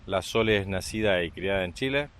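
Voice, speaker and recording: A man's voice: low-pitched, brisk, a bit bright. A man from Argentina with an Argentine accent, narrating a family walking trip outdoors in a chatty, upbeat way and encouraging his young son. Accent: Argentine Spanish. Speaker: vlogger and father. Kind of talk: travel vlog narration while walking. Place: outdoors on a rural walking trail.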